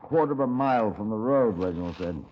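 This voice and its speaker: Man playing a low, brusque police detective. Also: lively intonation, fast talker, slight background noise, close to an everyday voice. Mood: dry, sardonic. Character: brusque, impatient, sardonic male British police detective. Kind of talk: 1950s radio crime drama acting and narration. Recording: dull, band-limited 1950s radio recording.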